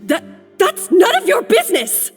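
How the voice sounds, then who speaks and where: An actress playing a haughty, short-tempered head chef who talks in a sharp, bossy way. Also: female voice, very high-pitched, huge pitch swings, normal speed, soft background music, exaggerated voice. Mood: defensive. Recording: reverb.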